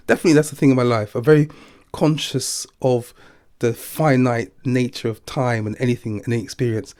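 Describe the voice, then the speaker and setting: Older male interviewee, thoughtful and philosophical, mid-pitched voice, recorded dry. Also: darkish tone, no room sound. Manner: thoughtful, philosophical, chuckles now and then. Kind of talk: reflective personal interview.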